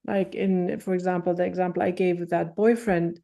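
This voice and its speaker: A woman's voice: fairly low pitch, fairly even intonation, a little fast, vocal fry, dark tone. A woman hosting a podcast, asking long, thoughtful questions and often restarting as she builds them. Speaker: podcast host. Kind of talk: interview questions.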